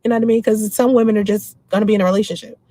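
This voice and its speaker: A woman's voice: medium pitch, steady tone, rapid-fire, dark tone, no room sound. A woman in her forties from the Washington, D.C. area, hosting a casual livestream and speaking her mind while answering viewer comments. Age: 40s. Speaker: livestream host.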